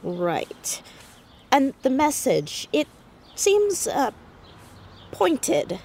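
A woman's voice: sits high, wildly swooping pitch, very slow, creaky, darkish tone, full character voice. A woman playing an earnest, inquisitive fourteen-year-old girl amateur detective in a naturalistic audio drama.

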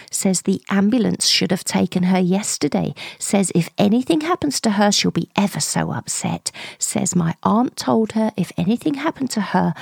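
A woman in her forties narrating a first-person radio play in short, hushed, confiding phrases with a breathy voice. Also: mid-range voice, no echo. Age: 40s. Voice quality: breathy, husky. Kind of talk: intimate first-person dramatic monologue, present tense.

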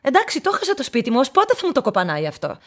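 An actress playing an unstable, childlike middle-aged widow in a radio play, defensive and pleading, swinging between agitated protest and conspiratorial murmurs. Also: female voice, high-pitched, wildly swooping pitch, heavily acted. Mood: aggrieved, agitated.

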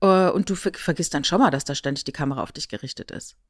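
A woman's voice: low voice, quick pace. A woman co-hosting a podcast in casual chat, explaining things in a chatty, lightly ironic way. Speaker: podcast co-host.

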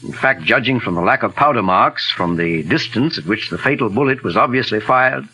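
An actor playing a kindly older gentleman detective with courteous, measured delivery in 1940s radio mystery style. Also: medium pitch, expressive, poor audio. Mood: grave, explaining. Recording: raspy-edged old 1940s radio recording.

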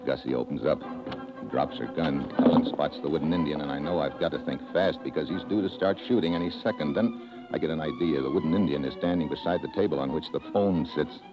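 An actor playing a tough, wry American secret agent in a 1950s radio adventure, with clipped hard-boiled delivery. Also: male voice, rumbling low, quick pace, highs cut off. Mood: tense narration. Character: tough, quick-thinking American male secret agent hero. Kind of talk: 1950s radio adventure drama acting and narration. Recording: old radio broadcast with music.

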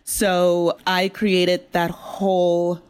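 A woman's voice: fairly low pitch, completely flat delivery, very slow, darkish tone. A woman guest in an interview, a writer explaining her story conversationally and thinking aloud with asides. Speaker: audio drama writer and creator, guest.

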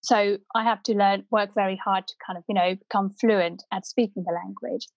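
A woman's voice: mid-range voice, fairly even intonation, moderate pace, creaky, no room sound, highs cut off. A middle-aged woman from India whose first language is Punjabi, telling her own life story in a reflective, unscripted way with many fillers and restarts.

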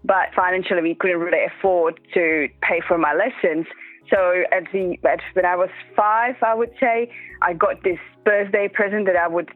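A woman's voice: on the low side, steady tone, moderate pace, no echo, soft background music. Czech-accented woman on a boxy, narrow recording. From Czech Republic, Prague. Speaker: interview guest, dressage coach. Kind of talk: casual interview chat.

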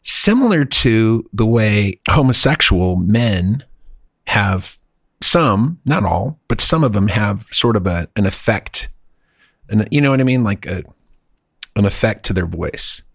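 A man's voice: lowish voice, a little slow, vocal fry, breathy edge, no room sound, clean studio sound. A man chatting casually as a podcast co-host, rambling and acting out other people's voices. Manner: animated, rambling, acts out voices. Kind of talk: casual chat.

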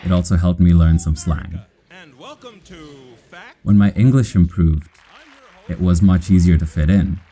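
A man from Kenya with an American accent, calmly narrating his own story in measured sentences. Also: sits low. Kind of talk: scripted narration.

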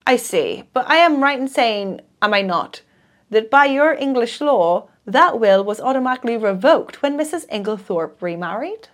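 A woman's voice: a little high, expressive, moderate pace, natural voice. Grave, probing male detective, read by a woman.

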